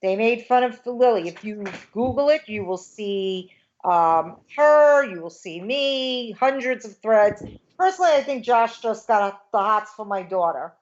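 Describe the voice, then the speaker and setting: A woman's voice: a little high, lively intonation, a little slow, dull audio. A woman in her fifties, a guest on a casual livestream chat, talking indignantly in a rush with many fillers. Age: 50s.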